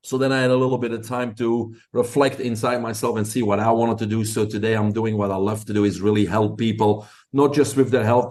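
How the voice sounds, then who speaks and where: A man's voice: fairly low pitch, a little mellow. A middle-aged man from Belgium with a Belgian accent, a podcast guest telling his story in long, confident answers.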